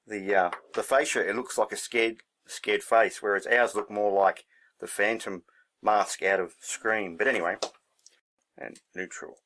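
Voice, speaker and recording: A man's voice: fairly low pitch, fairly even intonation, measured pace, a bit bright. A man giving a casual hands-on demonstration, thinking aloud in a laid-back, unscripted way as he works. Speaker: hobbyist presenter.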